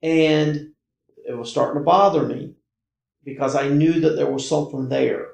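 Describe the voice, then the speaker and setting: An American man casually recounting his own experiences as a guest, in halting, emphatic phrases and short repetitions. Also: a little high, fairly even intonation, gravelly, a little mellow. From North Carolina, United States. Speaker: podcast guest recounting personal paranormal experiences. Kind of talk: casual conversational storytelling. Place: indoor room, in-person conversation. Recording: roomy, echoey indoor recording.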